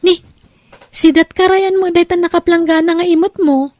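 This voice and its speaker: A woman's voice: extremely high pitch, fairly even intonation, moderate pace, a little mellow, dry recording. A woman playing a spirited, coy young daughter who likes to scold in an Ilocano audio drama. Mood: surprised, coy.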